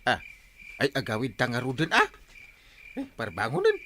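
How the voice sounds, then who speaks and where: A man acts out a boastful but cowardly Filipino village captain in a radio drama. His bright voice swoops wildly as he stammers and blusters. There is clear noise behind him. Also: medium pitch, wildly swooping pitch, moderate pace. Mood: nervous.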